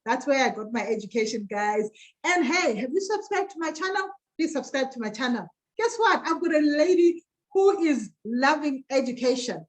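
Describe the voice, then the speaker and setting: A woman hosting an online interview show in an upbeat, casual, exclamatory way, talking familiarly to audience and guest. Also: high voice. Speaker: host of an online show interviewing African women.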